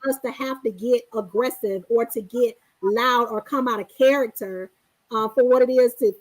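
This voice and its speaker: A woman co-hosting a casual talk, her voice sitting a little high. She makes steady, earnest points with occasional hesitant fillers. The sound is dry and close. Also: moderate pace, no echo, poor audio. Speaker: co-host. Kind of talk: casual chat.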